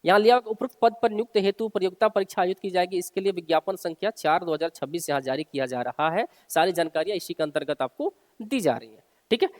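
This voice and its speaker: High-pitched male coaching teacher in heavy reverb. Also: expressive, fast, a little mellow. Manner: instructive, repeats and rephrases key points for listeners. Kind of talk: informal explainer, reading out and explaining a job notice. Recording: long hall-like reverb.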